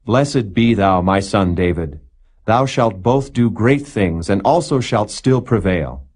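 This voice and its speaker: A man's voice: lowish voice, expressive, slow, a little mellow. A man reading a remorseful, fatherly king of ancient Israel with grave, formal, emphatic archaic speech. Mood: blessing.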